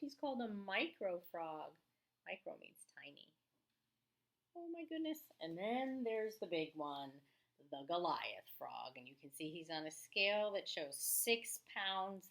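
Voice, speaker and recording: A woman, an elementary teacher reading aloud to children with expressive, chatty asides. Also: lowish voice. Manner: expressive, chatty, exclaims to young listeners. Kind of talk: read-aloud lesson for children with casual asides.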